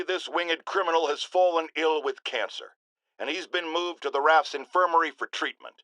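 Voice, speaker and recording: An actor playing a blustering, self-righteous middle-aged American talk-radio host in a video game, with pompous, emphatic delivery. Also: male voice, mid-range voice, sharp tone, no echo. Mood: callous, outraged. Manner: pompous, emphatic, ranting oratory. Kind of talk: opinionated talk-radio monologue, theatrical game acting.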